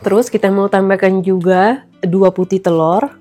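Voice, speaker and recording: A woman's voice: mid-range voice, fairly even intonation, moderate pace, darkish tone, faint music behind. Nasal-voiced woman with a cold. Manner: chatty, addresses viewers often. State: recovering from flu. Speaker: vlogger and mother. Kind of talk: casual cooking vlog.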